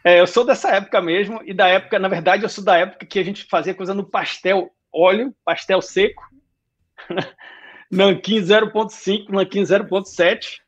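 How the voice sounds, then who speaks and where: Um homem na casa dos quarenta com sotaque baiano misturado com carioca, contando sua trajetória numa conversa descontraída, com muitos "né?". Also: male voice, high voice, moderate pace, muffled recording. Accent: Bahian Portuguese mixed with Rio. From Salvador, Bahia, Brazil. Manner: relaxed storytelling, frequent "né?". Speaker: guest designer. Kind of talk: casual interview chat.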